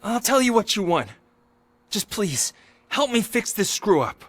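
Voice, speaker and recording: A man's voice: sits high, sing-song, measured pace, fairly bright tone, full character voice. A man playing a scared, loyal teenage petty thief in a video game, anxious and pleading. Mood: nervous, confiding.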